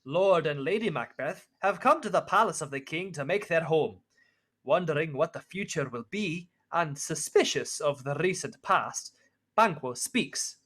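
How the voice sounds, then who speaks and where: A man's voice: fairly high pitch, animated, measured pace, a bit bright. A young man acting as an earnest narrator and nobleman in a Shakespeare radio play. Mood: narrating. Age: young adult. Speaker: student actor, narrator and supporting parts.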